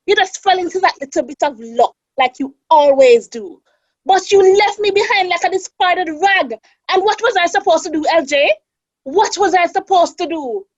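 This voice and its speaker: An actress playing a fiery, bitter wronged wife with a Caribbean accent in a radio drama. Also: female voice, very high voice, moderate pace, dry recording, dull audio. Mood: bitter, accusing.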